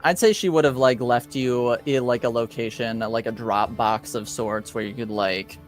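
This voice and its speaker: A man acting as game master for a tabletop roleplaying session, talking casually and haltingly as he thinks aloud. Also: medium pitch, fairly even intonation, soft background music.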